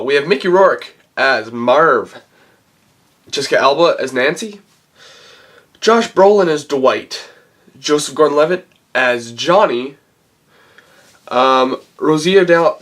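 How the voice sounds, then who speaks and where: A man's voice: on the high side, a bit bright. Guy with a cold doing a laid-back movie review, kinda rambly, keeps hunting for words. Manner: rambling, halting, searching for words. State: has a cold. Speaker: movie reviewer and channel host. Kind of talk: casual talk-to-camera film review.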